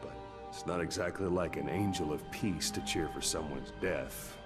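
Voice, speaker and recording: A man acting a grave, wry soldier in a video game cutscene, briefing in a measured, matter-of-fact way. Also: rumbling low. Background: music.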